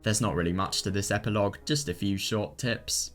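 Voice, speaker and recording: A man's voice: fairly low pitch, brisk, a bit bright. A man presenting a video guide in a scripted, methodical, instructional way. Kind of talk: scripted explanatory narration. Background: background music.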